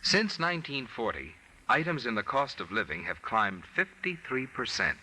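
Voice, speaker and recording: A man's voice: mid-range voice, big pitch swings, normal speed, pushed and bright, rough recording. A man announcing a 1940s radio commercial with emphatic, polished salesmanship. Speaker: sponsor announcer. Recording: vintage 1940s radio recording, faint noise.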